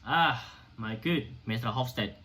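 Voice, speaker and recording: A man playing a defiant, indignant doctor orating to a hostile crowd in an amateur dramatic reading of a stage play, emphatic and sometimes halting. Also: medium pitch, pushed and bright. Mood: lecturing, scornful. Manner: emphatic, declamatory, halting in places.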